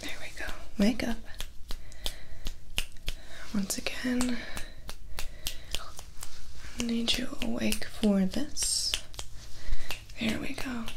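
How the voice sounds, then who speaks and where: A young woman playing a weary, businesslike vampire's assistant in a soft-spoken ASMR roleplay, deadpan and close to the microphone. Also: on the high side, gravelly, noisy background, exaggerated voice. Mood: brisk, hushed. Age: young adult.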